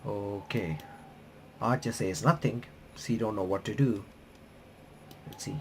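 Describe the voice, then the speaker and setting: A man doing a casual visual novel playthrough, reading the on-screen text aloud in a halting, stumbling way and reacting as he goes. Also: on the low side, a little mellow, slight background noise. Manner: halting, stumbling reader who rereads phrases.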